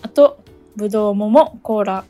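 A woman's voice: mid-range voice, moderate pace, dark tone, soft background music. A woman on a radio show's staff, chatting casually in polite speech. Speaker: radio show staff member.

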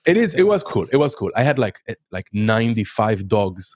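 A man's voice: fairly low pitch, fairly quick, dry recording. Israeli-accented man telling stories, dry sound. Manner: animated storyteller, many fillers and restarts. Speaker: guest. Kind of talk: casual interview chat.

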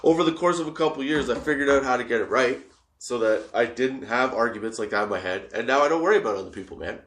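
A high man rambling casually and profanely about getting past setbacks, laughing often. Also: animated, fast talker, a bit bright, echoey room. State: high on cannabis.

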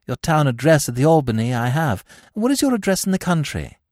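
A grand young society lady, as a male reader puts her on, imperious and gushing. The voice sits high and swoops affectedly, with a dry audiobook sound. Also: big pitch swings, brisk, a little mellow, dry recording.

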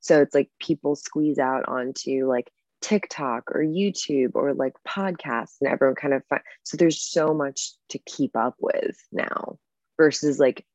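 A woman stand-up comedian chatting casually as a podcast guest, relaxed and full of filler words. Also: very deep voice, measured pace, no room sound, lo-fi sound. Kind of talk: casual conversational interview.

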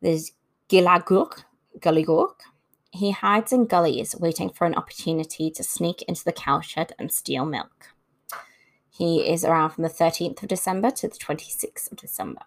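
A woman's voice: fairly low pitch, normal speed. A woman hosting an informal talk on folklore, reading from notes and adding casual asides. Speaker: host. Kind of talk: informal scripted narration about folklore.

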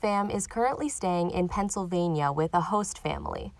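A woman reading a scripted news report as host, in a measured, even delivery. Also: on the low side, gravelly, dry recording.

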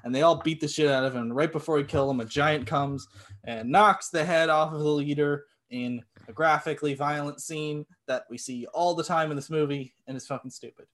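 A man with a slightly high voice talks in a blunt, sarcastic way and swears freely. He co-hosts a loose, casual podcast chat. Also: fairly quick, fairly bright tone. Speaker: podcast co-host. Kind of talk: casual chat reviewing a film.

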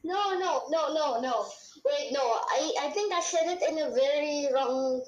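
Kid co-host, high voice, talks slow, just chatting about a game. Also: reverberant, muffled recording, background noise. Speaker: podcast co-host. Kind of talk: casual game chat.

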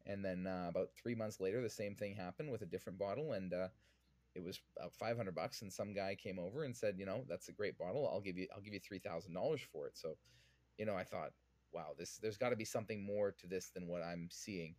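A man's voice: sits low, fast, a little mellow, no echo. A man from Vancouver with a Canadian accent, answering interview questions conversationally as a podcast guest. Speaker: podcast guest, whiskey influencer.

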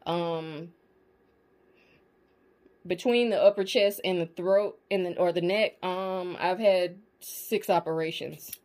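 A woman's voice: fairly low pitch, takes it slowly, darkish tone. A woman in a casual monologue to her viewers, emphatic and profane, often checking in with the audience. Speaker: spiritual vlogger.

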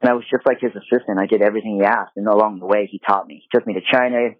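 Fast-talking man on a tinny call line. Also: fairly low pitch, no room sound. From Japan. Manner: relaxed, talkative, lots of fillers. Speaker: guest, apparel designer. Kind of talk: casual interview conversation. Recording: dry, phone-like remote call audio.

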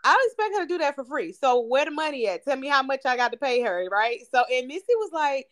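A woman's voice: high voice, sing-song, fast, a bit bright. Effusive churchy woman podcast host. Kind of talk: casual podcast chat.

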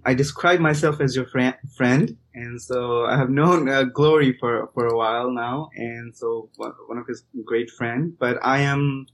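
A man's voice: mid-range voice, steady tone, a little slow, few pauses, a little hiss or hum. Hesitant man from India, guest. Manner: hesitant, many restarts and fillers. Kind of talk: conversational interview answers.

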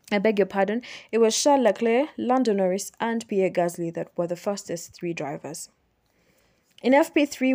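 Mellow-voiced woman podcaster from Uganda. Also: a little high. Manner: chatty, explains step by step, self-correcting. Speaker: podcast host. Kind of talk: solo sports recap podcast.

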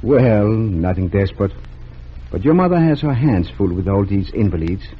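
A gentle small-town doctor with a low, husky voice, calm and reassuring with the young folks. The sound is dull and lo-fi, like an old radio broadcast. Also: male voice, moderate pace. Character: kindly middle-aged male town doctor. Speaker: doctor character. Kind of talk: 1930s radio drama acting.

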